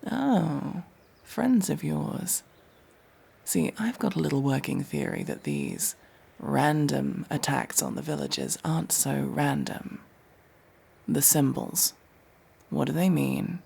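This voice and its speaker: A cold elf mercenary played by a man in a fantasy audio drama. His voice is heavily gravelled and husky, and he takes his time, interrogating with dry, sardonic menace. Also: a little high, sing-song, a little mellow, full character voice. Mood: cold, probing. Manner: slow, menacing, dry sarcasm. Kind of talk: fantasy audio roleplay acting.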